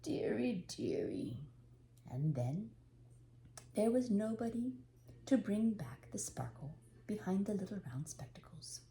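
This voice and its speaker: An older woman reading a children's storybook aloud, unhurried and expressive, with dramatic pauses. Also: medium pitch, slight background noise.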